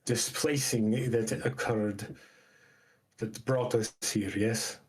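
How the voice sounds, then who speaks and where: Solemn elder of a fantasy game, played by a man, very slow, measured and portentous, formal in phrasing. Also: on the low side, steady tone. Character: solemn elder recounting ancient lore.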